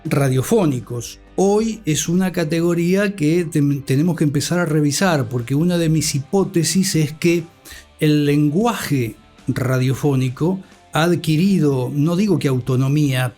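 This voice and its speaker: Male interview guest, middle-aged, Argentine accent, higher than average pitch, reflective scholarly phrasing, light music bed. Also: darkish tone. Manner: measured, articulate, formal vocabulary. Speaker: interview guest, communication academic and scriptwriter.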